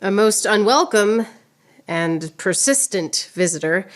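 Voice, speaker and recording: A woman's voice: medium pitch, lively intonation, takes it slowly. A woman playing a proud, witty, wounded swordswoman poet in radio theater, with a lofty, theatrical delivery. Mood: rueful bravado.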